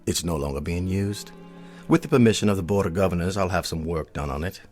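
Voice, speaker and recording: An actor playing a haughty, wealthy colonel in an audio drama, speaking in a measured, formal, pompous way. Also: male voice, low-pitched, darkish tone, soft background music, natural voice. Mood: measured, commanding. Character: haughty, wealthy club colonel, stern and exacting.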